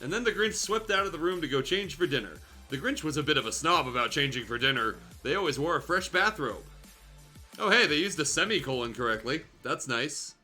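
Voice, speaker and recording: A man's voice: fairly high pitch, lively intonation, a little fast, bright, forceful tone, faint music behind. A man hosting a show, reading fan fiction aloud casually and comically, with playful, sardonic asides.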